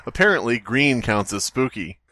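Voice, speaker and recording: A man's voice: medium pitch, sing-song, normal speed, sharp tone, no room sound. A man co-hosting a podcast with casual, profane, sarcastic comedic banter. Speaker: podcast co-host.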